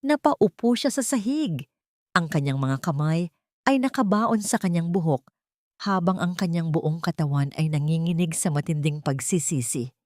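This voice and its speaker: A woman narrating a dramatic love story in a measured, storytelling manner. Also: lowish voice, lively intonation, a little mellow, no room sound, studio quality.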